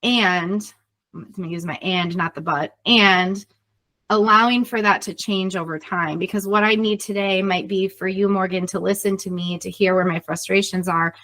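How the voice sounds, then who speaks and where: A woman's voice: medium pitch, fairly even intonation, moderate pace, a bit bright. A middle-aged woman consultant talking in a conversational interview, explaining earnestly with everyday example questions. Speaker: leadership consultant and podcast co-host, being interviewed.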